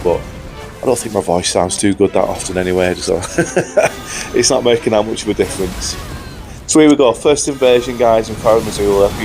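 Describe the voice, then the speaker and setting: Hoarse man commenting on gameplay. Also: lowish voice, darkish tone. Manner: upbeat, chatty, says "guys" often. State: hoarse from a cold. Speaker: gaming YouTuber.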